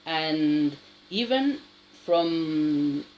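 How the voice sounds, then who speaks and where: Slow, halting man. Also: a little high, expressive, dull audio, a little hiss or hum. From South America. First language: Spanish. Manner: halting, with many restarts and a frequent tag question. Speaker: English learner sharing advice. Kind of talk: casual spoken monologue.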